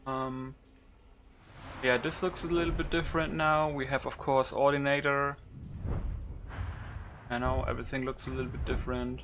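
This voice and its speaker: A man's voice: medium pitch, little pitch movement, moderate pace, long pauses. Casual man commentating with faint background noise. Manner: loose, informal, thinking aloud. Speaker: gaming YouTuber showing a modded game. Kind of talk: casual unscripted game commentary.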